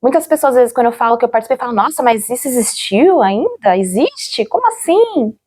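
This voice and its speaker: A Brazilian woman giving an interview, recalling her experience in a reflective, halting way, searching for words and often checking for agreement. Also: a little high, expressive, warm tone. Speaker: interviewee, former radio drama actor. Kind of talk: interview, personal recollection.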